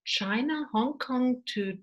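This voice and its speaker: A woman's voice: high voice, slow pace, lo-fi sound. A woman from Germany interviewing a guest, searching for her words and hesitant. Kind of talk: conversational interview.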